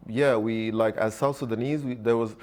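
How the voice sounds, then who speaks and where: A young man raised in Lebanon, a podcast guest telling his story in a relaxed, hesitant way with many fillers. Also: medium pitch, normal speed. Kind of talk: casual interview storytelling.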